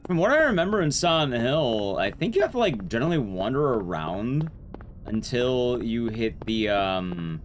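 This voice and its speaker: A man's voice: medium pitch, sing-song, normal speed, a little hiss or hum. A man giving casual, rambling live commentary on a game to his stream chat, informal and a bit sweary. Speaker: speedrun streamer.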